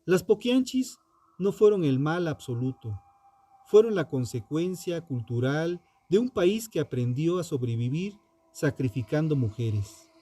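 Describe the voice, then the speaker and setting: A man narrating a scripted true crime story with dramatic, deliberate phrasing and pointed pauses. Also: a little high, lively intonation, dark tone. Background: music under the voice.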